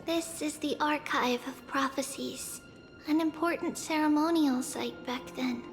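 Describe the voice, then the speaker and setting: Solemn young girl guide for a game: high voice, slow and earnest, music underneath. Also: in a large hall. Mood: solemn, gentle. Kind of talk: scripted video game voice acting.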